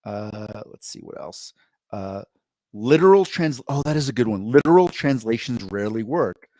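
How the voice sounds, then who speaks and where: A man hosts a podcast, rambling upbeat and catching himself mid-sentence as he talks and reads posts aloud. His pitch is ordinary middle. The sound is dry and close to the mic. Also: animated, moderate pace, darkish tone, no echo. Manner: rambling and self-correcting, upbeat. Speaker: podcast co-host. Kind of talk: casual chat, reading posts aloud.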